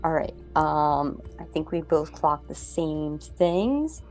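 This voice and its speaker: Girl playing dnd, lowish voice, casual chatty, some music going behind her. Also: lively intonation, slow pace. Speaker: player in a tabletop game. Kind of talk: casual game chat and in-character talk. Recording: background music under the voice.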